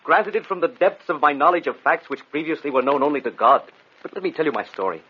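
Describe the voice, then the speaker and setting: An actor playing a proud, disgraced physician obsessed with mesmerism, orating theatrically in an old radio drama style. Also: male voice, a little high, fast talker, husky. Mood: impassioned defense. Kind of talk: vintage radio drama acting. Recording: dull old radio recording.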